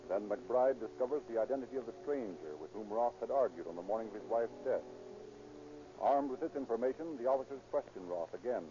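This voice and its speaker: An actor as a formal narrator reading scripted narration for a 1930s radio police drama. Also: male voice, medium pitch, animated, fairly quick, background noise, lightly acted. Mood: formal, serious. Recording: muffled 1930s radio recording.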